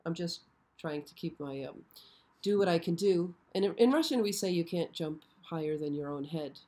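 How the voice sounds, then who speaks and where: A hoarse woman hosting a casual live stream, chatty and often checking in with her viewers. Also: on the low side, moderate pace, dark tone. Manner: chatty, frequently checks in with "okay?". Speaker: show host. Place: show set.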